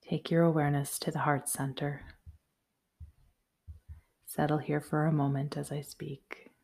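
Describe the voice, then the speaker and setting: A woman guiding a yoga nidra meditation in a soothing, measured, softly spoken way. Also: sits low, level tone, creaky, slightly rough. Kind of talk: guided meditation, slow instructions.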